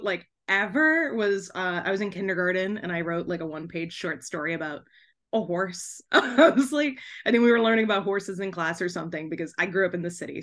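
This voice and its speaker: A woman chatting casually as an interview guest, an author who tells stories in an animated, giggly way with lots of "like". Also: mid-range voice.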